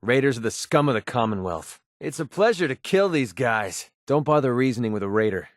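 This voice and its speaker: Guy playing a wisecracking young merc in a video game, sardonic and grumbly, medium pitch, super dry recording. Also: animated, a little fast, no room sound, natural voice. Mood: wary, scornful. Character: cynical, wisecracking young mercenary sniper in a wasteland. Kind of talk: video game companion dialogue.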